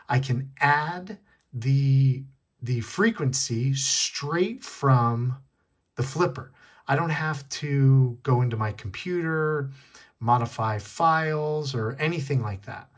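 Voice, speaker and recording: Male tech tutorial host, a bit husky, casual step-by-step delivery. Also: mid-range voice. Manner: step-by-step explaining, repeating key points. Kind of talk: casual hands-on demonstration. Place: indoors at a wooden desk.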